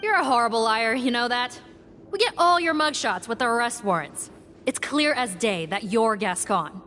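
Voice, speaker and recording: Stern, blunt female guard for a game, fairly high voice, light music underneath. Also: animated, normal speed, pushed and bright. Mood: scornful.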